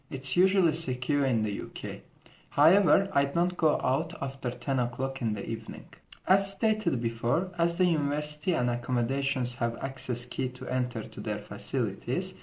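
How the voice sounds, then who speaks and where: A man's voice: mid-range voice, animated, normal speed, a little mellow. A young man with an Azerbaijani accent, giving a scripted informational presentation as a student host, with formal, careful phrasing. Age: young adult.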